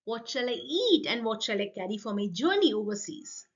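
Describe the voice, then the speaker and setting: Woman voicing a high, pleading little sparrow. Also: big pitch swings, fairly quick, highs cut off. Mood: pleading, insistent. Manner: chanting, repetitive pleading. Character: small pleading female sparrow, persistent and plaintive. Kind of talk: expressive children's folk tale storytelling.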